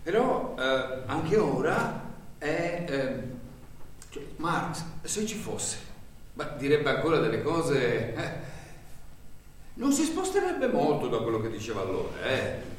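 A man's voice: mid-range voice, huge pitch swings, takes it slowly, pauses often. An actor playing a rambling, earnest working-class man in a stage monologue, stammering, repeating himself and searching for words. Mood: earnest, groping. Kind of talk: theatrical stage monologue in a radio broadcast. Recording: very echoey stage, distant mic, faint noise.

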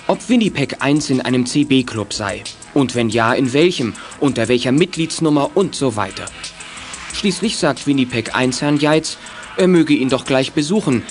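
A man's voice: mid-range voice, close to an everyday voice. A man narrating a scripted children's detective audio drama as its storyteller.

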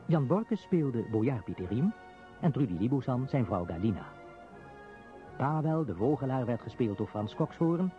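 A man reading a scripted credits announcement as a radio announcer. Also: medium pitch. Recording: dull radio recording, prominent music.

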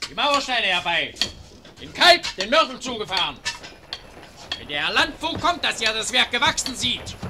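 Bossy foreman yelling orders from far off, lively pitch, noisy worksite behind. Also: normal speed, heavily acted. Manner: shouting orders. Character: bossy foreman driving builders.

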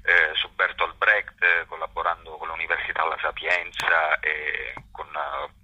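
A man giving explanatory interview answers in a halting, thoughtful way, searching for his phrasing as he goes. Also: rumbling low, flat delivery, breathy edge, dull audio. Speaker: actor and workshop teacher being interviewed.

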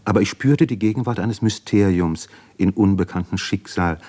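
An actor in a 1950s radio drama playing a thoughtful young medical student, speaking an earnest, reflective monologue. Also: male voice, low voice, moderate pace, a little mellow. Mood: awed, reflective.

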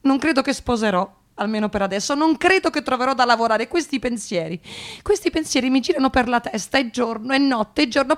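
An actress playing a nervous, tired young unemployed woman in a radio monologue, halting and agitated, repeating her words. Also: high-pitched, natural voice. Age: young adult. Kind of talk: radio theatre dramatic monologue.

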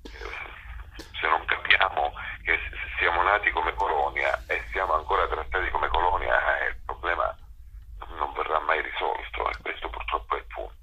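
A man with an extremely deep voice speaks as a radio guest. His tone is bright and sharp yet husky. He rambles with frequent restarts and fillers. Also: normal speed, lo-fi sound. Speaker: theatre author, director and actor as guest. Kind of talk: radio interview, discursive talk.

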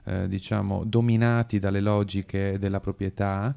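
A man's voice: sits low, little pitch movement, moderate pace, vocal fry, warm tone, clear, resonant tone. A man hosting an unscripted radio programme, explaining in long, hesitant sentences. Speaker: radio host. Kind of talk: unscripted radio talk on activism.

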